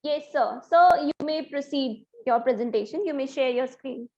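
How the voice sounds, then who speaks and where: A woman's voice: high voice, normal speed, mellow, lo-fi sound. A woman moderating a conference gives formal introductions to presenters over an online call.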